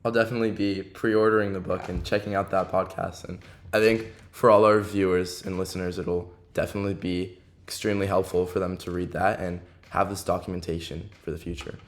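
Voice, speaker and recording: Young man hosting, low polite voice. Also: a little fast. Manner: polite, steady questioning. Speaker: podcast host. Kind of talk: interview questions.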